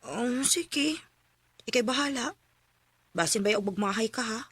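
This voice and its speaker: A woman acts a carefree role in a radio drama, with a bright, sharp voice that pitches a little high. She talks back in a defiant way. The recording is dry and close. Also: lively intonation, unhurried, breathy edge, dry recording.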